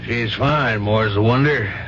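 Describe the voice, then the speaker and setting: Low-voiced, avuncular doctor. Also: male voice, a little slow, a bit bright. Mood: kindly, wry. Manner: avuncular, wry. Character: assured, kindly senior male family doctor, wryly amused. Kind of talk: 1970s radio drama acting. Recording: dull, noisy vintage radio broadcast.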